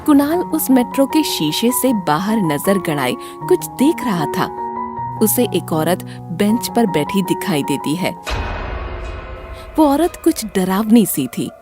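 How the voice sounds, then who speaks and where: A woman narrating a scripted horror story as a dramatic storyteller. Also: medium pitch.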